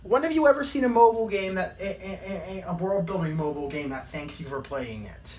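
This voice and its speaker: A woman's voice: lowish voice, expressive, a little fast, a little mellow, distant mic, slight background noise. A woman hosting a casual reaction commentary in a mocking tone, often stumbling and repeating words. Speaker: commentary host.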